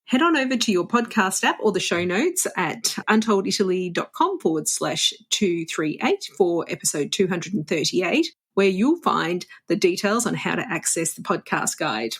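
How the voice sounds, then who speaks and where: A woman's voice: fairly low pitch, sing-song, normal speed, few pauses, breathy edge. A woman hosting a travel podcast, upbeat and chatty, sprinkling in Italian greetings. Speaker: podcast host. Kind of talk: casual interview chat.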